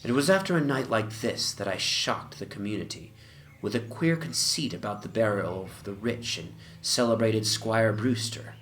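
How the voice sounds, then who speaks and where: A man voicing an obsessed, morbid gentleman in a dramatic Gothic horror reading, with deliberate phrasing that often sinks into breathy whispers. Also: lowish voice, expressive. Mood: brooding. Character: obsessed, morbid gentleman recounting his haunted past. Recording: ambient soundscape noise behind the voice. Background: atmospheric horror soundscape.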